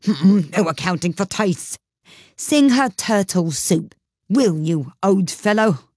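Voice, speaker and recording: A woman reading aloud and voicing the Gryphon, an impatient, brusque male creature who gives orders briskly. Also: fairly low pitch, lively intonation, a little mellow, no room sound, studio quality.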